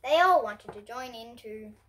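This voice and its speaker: A girl of about ten to twelve reading a picture book aloud to young children in an expressive, presentational way. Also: on the high side, a little slow. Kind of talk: storybook read-aloud for children. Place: indoors at home, in front of bookshelves.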